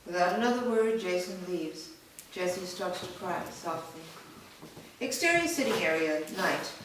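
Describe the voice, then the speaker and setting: A woman's voice: lowish voice, animated, unhurried. A woman reading stage directions as scripted narration. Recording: echoing room, distant mic, clear background noise.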